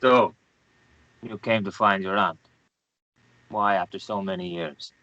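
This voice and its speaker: A man's voice: deep voice, steady tone, measured pace, sharp tone, dull audio. A man playing a curt, grave Guatemalan newspaperman in his thirties at a screenplay table read. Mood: probing.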